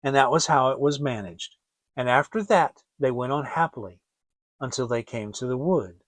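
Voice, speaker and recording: A man reading a fairy tale as a storyteller, in a theatrical, expressive scripted style. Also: fairly high pitch, dry recording, rough recording. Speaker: storyteller, audiobook reader.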